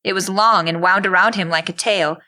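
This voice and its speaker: A woman's voice: medium pitch, fairly even intonation, a little fast, pushed and bright. A woman narrating an audio drama in a scripted storybook style.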